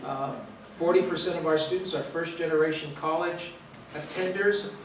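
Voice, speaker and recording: A man in his fifties from Los Angeles, giving an earnest, reflective talk as a guest speaker with frequent "uh" pauses. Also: fairly high pitch, steady tone, a little hiss or hum. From Los Angeles, USA. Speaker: guest speaker, university president. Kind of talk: personal talk to a church gathering. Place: meeting room or church hall. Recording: echoey room, mic far away.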